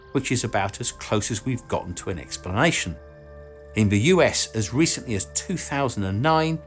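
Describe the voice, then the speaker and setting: Male documentary presenter, mid-pitched, measured scripted narration with light music underneath.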